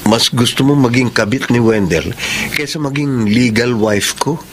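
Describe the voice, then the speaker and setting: An actor playing a lovesick, rejected suitor in a Filipino radio drama. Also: male voice, medium pitch, unhurried, slight background noise, lightly acted. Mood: reproachful.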